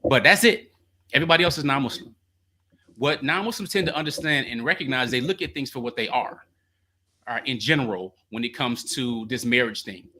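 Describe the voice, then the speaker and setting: A man hosts a call-in show with a quick, bright, forceful delivery. He talks loosely and animatedly, slipping into slang and telling stories. His voice sits at an ordinary male pitch. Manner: animated, laughing, slangy storytelling. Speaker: call-in show host and coach. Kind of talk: call-in advice talk.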